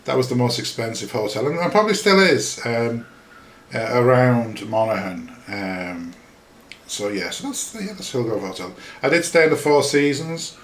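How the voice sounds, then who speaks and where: A man's voice: medium pitch, expressive, normal speed, a bit bright, slight background noise. Chatty Yorkshire man in an echoey room. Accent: Yorkshire English with a slight Liverpool tinge. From Yorkshire, England. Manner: chatty, self-deprecating, many fillers and restarts. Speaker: podcast host. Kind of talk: casual rambling talk over a screen-shared map.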